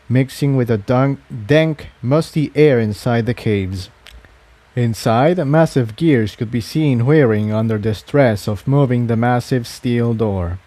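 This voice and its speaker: A man's voice: medium pitch, darkish tone, no room sound. A man reading a story aloud as a scripted narration. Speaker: fan fiction reader.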